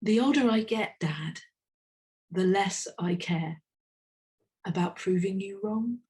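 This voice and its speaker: A woman's voice: mid-range voice, darkish tone. Woman reading a tender spoken-word poem. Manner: slow, intimate, reflective delivery. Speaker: poet.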